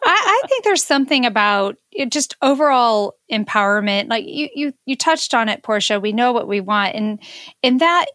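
A woman's voice: a little high, expressive, moderate pace, a bit bright, dry recording, clean studio sound. A woman in a casual, reflective chat, speaking earnestly and feeling her way through her phrases.

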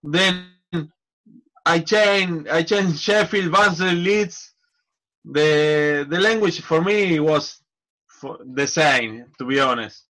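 Slow, halting man with a bright voice. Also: fairly high pitch, rough recording. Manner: halting non-native English, many restarts. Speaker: guest, football coach. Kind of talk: casual interview.

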